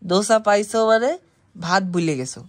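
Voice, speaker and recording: A man's voice: high voice, animated, normal speed. Young guy, east bengali dialect, casual home vlog chat with family. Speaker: vlogger chatting with grandmother.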